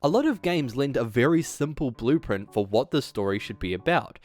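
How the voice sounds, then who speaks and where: Animated man narrating a video essay. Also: mid-range voice, moderate pace. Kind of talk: scripted narration for a video essay with casual asides.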